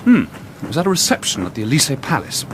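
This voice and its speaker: An actor playing a very young, brilliant amateur detective in a period radio drama, blunt, insistent and facetious. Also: male voice, a little high, huge pitch swings, fast talker. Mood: wry, intent. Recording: noisy ambient sound behind dialogue.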